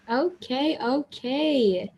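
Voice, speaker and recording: A woman's voice: sits high, expressive, very slow, darkish tone, low-quality recording. A woman hosting a talk show, introducing her guest in a friendly, brief way. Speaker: host.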